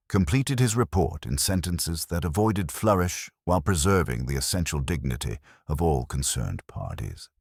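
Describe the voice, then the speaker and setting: Really low voiced guy reading a mystery story, formal and measured, lots of intonation. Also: dry recording, studio quality. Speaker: narrator. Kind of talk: scripted mystery story narration.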